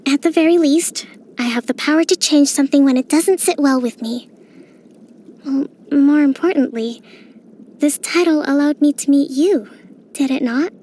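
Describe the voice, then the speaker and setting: An actress voicing a young female island priestess and military strategist in a game, speaking in a gentle, composed, softly playful way. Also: sits high, normal speed, natural voice. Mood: reflective. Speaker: game character. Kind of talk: game voice lines.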